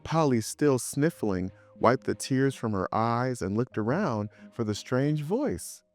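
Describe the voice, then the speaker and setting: Slow, animated man reading a children's story. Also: mid-range voice, soft background music. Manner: expressive, acts out dialogue. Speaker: story reader. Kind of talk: scripted children's story narration.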